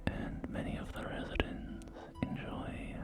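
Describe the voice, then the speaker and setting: A man performing a whispered ASMR roleplay as a courteous real estate agent, speaking in polite, hesitant sales patter, with music behind him. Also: a little slow. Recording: whisper under loud background music. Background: music and game audio.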